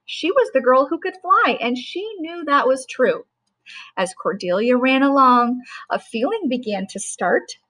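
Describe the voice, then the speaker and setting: Woman, middle-aged, high-pitched, reads rhyming picture book gently and rhythmically. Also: big pitch swings, a little slow. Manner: gentle, sing-song rhyming reading. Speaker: teacher reading a bedtime story. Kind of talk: storybook read-aloud.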